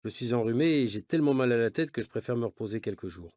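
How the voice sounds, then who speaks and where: Man reading dialogue in measured tones. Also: medium pitch, lively intonation, darkish tone, a little hiss or hum. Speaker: audio reader of a learner's novel. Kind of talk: reading dialogue for language learners.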